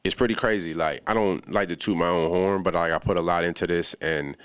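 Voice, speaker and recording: A man's voice: sits low, fairly even intonation, a little fast, clean tone, no echo. A laid-back young man from the Bahamas, a music producer talking casually as a podcast guest, with lots of slang and fillers.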